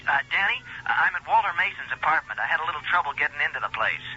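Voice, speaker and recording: An actor playing a blunt police detective calling in a report, in a vintage radio drama heard on a muffled old broadcast. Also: male voice, fairly high pitch, sing-song, fast, bright, forceful tone, breathy edge, heavily acted. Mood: urgent. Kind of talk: 1970s radio drama acting.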